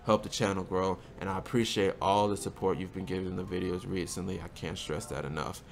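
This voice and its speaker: A man with a slightly hoarse voice from a cold, giving a relaxed, conversational game tutorial as a channel host. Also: sits low, brisk, slight background noise. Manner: relaxed, conversational, often asks "right?". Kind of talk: casual game tutorial commentary. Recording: music playing under the voice.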